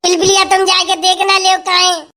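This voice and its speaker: A woman's voice: extremely high pitch, little pitch movement, brisk, pushed and bright. A woman voicing a hungry, fed-up village wife who grumbles and scolds in a comic sketch. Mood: complaining. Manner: complaining, scolding, rustic chatter.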